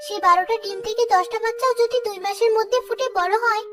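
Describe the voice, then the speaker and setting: A woman's voice: very high voice, fast, music in the background. A woman voicing a clever, bold female bird in a children's cartoon. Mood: persuasive.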